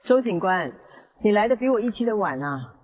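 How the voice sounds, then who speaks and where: Smug young female hypnotist villain played by a woman; audio drama, menacing calm, long reverb. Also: medium pitch, brisk, a little hiss or hum, lightly acted. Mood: smug threat. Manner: calm, gloating, threatening. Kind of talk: dramatized audio fiction acting.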